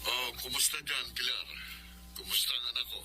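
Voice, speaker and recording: An actor with a Filipino accent playing a stern, worried older father in a radio drama, heard quietly over a telephone. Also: mid-range voice, fairly even intonation, a little fast, a little hiss or hum. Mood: stern, concerned. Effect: distant, quiet telephone voice.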